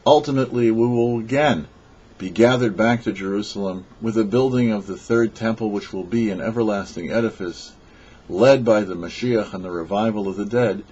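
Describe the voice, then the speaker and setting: A man with a low, husky voice reads a short sermon as a rabbi on a radio show. His delivery is measured and emphatic. The recording is dull and lo-fi. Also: a little mellow. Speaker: rabbi giving a weekly religious teaching. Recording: dull, lo-fi audio.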